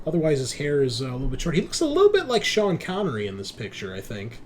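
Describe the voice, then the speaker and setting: A man narrating a tabletop roleplaying game as game master, describing scenes in a halting, improvised way. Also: a little high, wildly swooping pitch. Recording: faint background noise.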